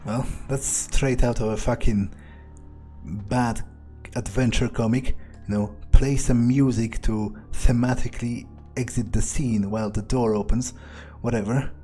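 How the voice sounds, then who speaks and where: A man's voice: mid-range voice, fairly even intonation, measured pace, warm tone. A man roleplaying through a video game in character, thinking aloud in a sardonic way with plenty of swearing. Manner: sardonic, muttering, heavy swearing. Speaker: gameplay streamer roleplaying in character. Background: game music.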